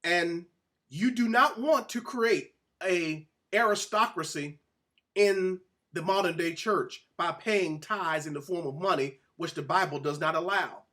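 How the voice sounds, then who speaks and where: High-voiced man teaching scripture emphatically. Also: a little slow, bright, forceful tone. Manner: emphatic, didactic, addressing listeners directly. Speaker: host and author reading from own book. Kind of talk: religious teaching talk with read-aloud excerpts.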